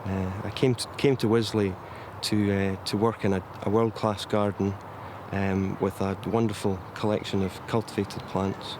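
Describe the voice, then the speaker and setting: A young man with a Scottish accent and a low, flat voice, pausing with fillers as he talks. Clear outdoor noise is heard around him. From Scotland. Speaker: horticulture student.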